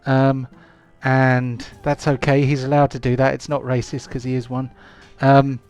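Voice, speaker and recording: A man's voice: mid-range voice, monotone, a little slow, a little mellow, smooth, soft background music. A man, hosting and running a live-play tabletop game in a chatty, rambling way full of ums and restarts. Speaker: game master.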